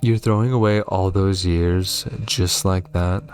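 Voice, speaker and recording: A man reads the part of a suspicious wife in a horror game, over faint music. The delivery is insistent and accusing, in a low register. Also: fairly even intonation, unhurried, a little mellow. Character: suspicious wife confronting her secretive husband in game.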